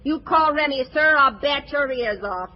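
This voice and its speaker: A woman's voice: high-pitched, lively intonation, moderate pace. An American woman playing a bossy, indignant gangster's wife with airs in 1940s radio comedy, full of slang. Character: brassy, bossy gangster's wife putting on refinement. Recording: dull 1940s radio recording.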